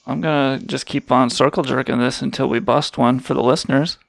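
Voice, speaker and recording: A man's voice: mid-range voice, fairly even intonation, fairly quick, vocal fry, no echo. A man hosting a crude comedy radio show, sarcastic and rambling, swearing freely. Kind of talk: irreverent comedic banter.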